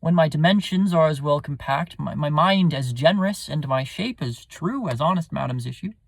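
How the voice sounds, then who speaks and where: A man's voice: fairly high pitch, animated, normal speed, vocal fry, no echo, studio quality. A man playing a resentful, ambitious younger son, declaiming theatrically in a Shakespearean soliloquy. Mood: defiant. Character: resentful, ambitious bastard son, defiant and scheming.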